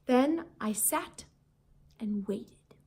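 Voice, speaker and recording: A woman's voice: fairly high pitch, lively intonation, extremely slow, warm tone, breathy edge. A woman teacher reading a children's storybook aloud in a theatrical, emphatic way that invites young listeners in. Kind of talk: expressive children's storybook narration.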